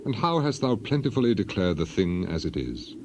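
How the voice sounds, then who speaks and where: A man acts out a devout, suffering ancient man pleading his case in a Bible drama. The voice is low and the delivery grave and declamatory, with archaic phrasing. Noticeable ambient noise runs behind it. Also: sing-song, fairly quick. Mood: solemn rebuke. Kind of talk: dramatized scripture reading.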